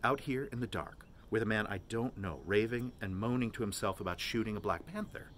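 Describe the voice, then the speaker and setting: A man voicing a weary, resentful young man in a quiet, sullen, terse manner. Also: on the low side, fairly quick, slight background noise, lightly acted. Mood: fearful, gloomy.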